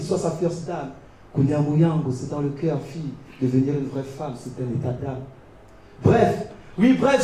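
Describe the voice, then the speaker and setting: High-pitched male slam poet, heavy echo. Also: mellow. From Gabon. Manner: declamatory, dramatic phrasing with pauses. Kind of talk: slam poetry recited on stage. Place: live poetry competition venue. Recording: distant mic, very echoey venue.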